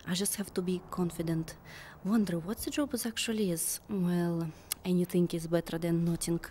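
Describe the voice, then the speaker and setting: A woman voicing a nervous inner monologue, quiet and self-reassuring, partly whispered. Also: lowish voice, fairly even intonation, normal speed, slight background noise, lightly acted.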